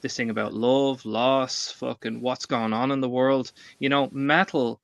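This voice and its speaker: A man's voice: medium pitch, steady tone, measured pace, vocal fry, dry recording. An Irish man telling personal stories casually on a livestream panel, emphatic and given to swearing. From Ireland. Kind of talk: casual chat, personal storytelling. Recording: dull, lo-fi microphone.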